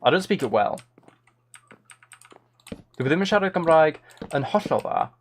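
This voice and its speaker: A man's voice: on the high side, big pitch swings, moderate pace, a little hiss or hum. A young man chatting casually while he streams a game, rambling and self-deprecating into a close mic. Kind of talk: casual live-stream chat while gaming.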